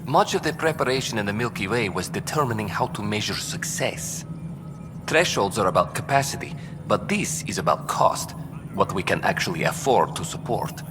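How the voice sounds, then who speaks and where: Actor as composed, expressive official in a vast hall. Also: male voice, on the low side, lightly acted. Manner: measured, explanatory, bureaucratic. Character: composed male colonization program official. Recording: long hall reverb, music behind.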